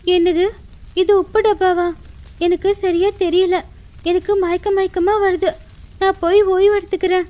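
A woman's voice: very high voice, flat delivery, measured pace, pauses often, dark tone, pitched above the performer's own voice. A woman voicing a weary, hardworking orphan girl, an earnest and plaintive elder sister in a cartoon story. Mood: weak, confused.

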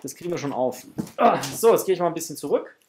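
A man's voice: on the high side, expressive, quick pace, background noise. A man in his thirties or forties chatting casually and affectionately to viewers as the host of an unboxing vlog. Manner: effusive, affectionate toward viewers. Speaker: host of a toy unboxing channel. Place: small indoor home room.

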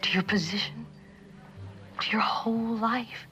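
An actress playing an anxious, pleading young woman in a secret affair, halting and earnest, in a 1960s television drama. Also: a little high, lo-fi sound, over music, natural voice.

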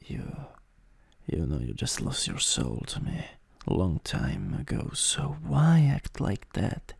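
A man with an Italian accent voicing a cruel, mocking vampire master in an improvised ASMR roleplay, breathy and menacing, close to the microphone. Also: low-pitched, wildly swooping pitch, a little mellow, heavily acted. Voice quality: breathy, husky, gravelly. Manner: menacing, drawn-out, condescending. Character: cruel, mocking male vampire master taunting his servant. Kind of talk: improvised ASMR roleplay, slow and partly whispered. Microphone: close USB microphone.

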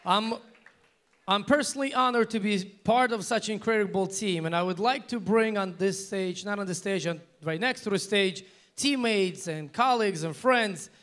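A man co-hosting a gala, reading formal introductions in a slightly halting way on a banquet hall stage. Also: sits high, a bit bright. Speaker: event co-host. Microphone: handheld microphone.

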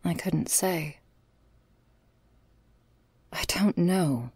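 Woman doing a commanding vampire lady, slow and husky, wordy and poetic, breathy audio rp. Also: sits low, dark tone, no echo, heavily acted. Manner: slow, poetic, formal diction. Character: cryptic, weary, commanding female vampire mistress, wordy and formal. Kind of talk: audio roleplay acting.

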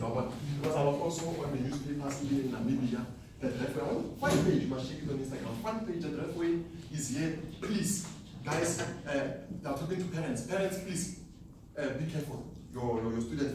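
A young man from South Africa giving an animated, boastful trading seminar to students, often laughing at his own lines. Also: fairly high pitch, background noise. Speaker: forex trading speaker. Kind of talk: live seminar talk to students. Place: classroom with seated audience. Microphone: far camera, no mic. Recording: echoey classroom from far off.

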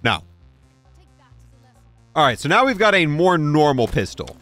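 A man's voice: on the high side, sing-song, measured pace, over music. A man giving casual, chatty, opinionated video game commentary, repeating himself for emphasis. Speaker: gaming YouTuber reviewing game content.

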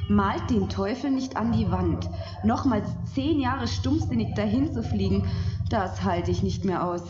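A woman's voice: mid-range voice, measured pace, lightly acted. A woman playing an impatient, anxious and blunt spaceship biologist in a science-fiction audio play. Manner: impatient, fretful. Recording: dull echoey cassette, music behind.

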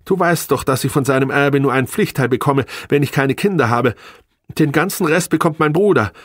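A man's voice: mid-range voice, expressive, moderate pace, breathy edge, no room sound, studio quality, voice pushed up. A man voicing an angry, demanding estranged wife with biting, indignant emphasis in an audiobook. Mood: defensive, agitated. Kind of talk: audiobook character dialogue.

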